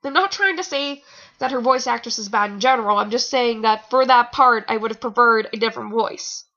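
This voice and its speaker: A woman's voice: a little high, brisk, pushed and bright, dull audio. A young adult woman giving a mocking amateur fan fiction reading with rambling, exasperated, theatrical asides, sounding a bit tired. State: tired, sore nose.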